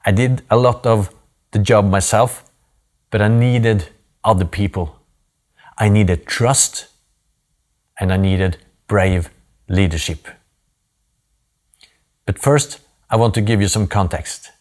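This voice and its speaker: A man in his forties from Norway with a Norwegian accent, giving an earnest, deliberate personal story talk with pauses for emphasis. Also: lowish voice, darkish tone.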